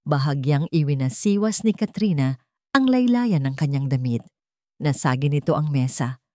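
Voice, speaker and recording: Low-pitched woman narrating a dramatic story. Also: lively intonation, moderate pace, warm tone, dry recording.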